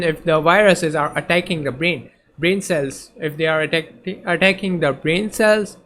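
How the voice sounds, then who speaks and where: A male tutor whose first language is Urdu talks through a lesson in a somewhat high voice, stopping often and restarting his sentences. A faint hiss of noise runs behind him. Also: a bit bright. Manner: hesitant, many fillers and restarts. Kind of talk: casual lecture explaining slides.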